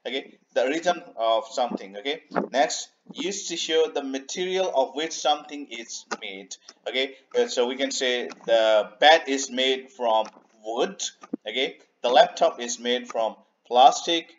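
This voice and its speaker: A man teaching an English grammar lesson over slides, unhurried, repeating himself and filling pauses with "okay" and "uh". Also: mid-range voice, highs cut off, slight background noise. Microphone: voice-over on a screen recording.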